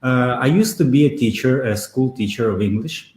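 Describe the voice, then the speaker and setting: A man with a mid-range voice, answering interview questions over a video call. He talks in a steady, measured way and often pauses on "uh". The sound carries a clear roomy echo. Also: medium pitch. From Belarus. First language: Russian. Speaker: guest, SEO consultant.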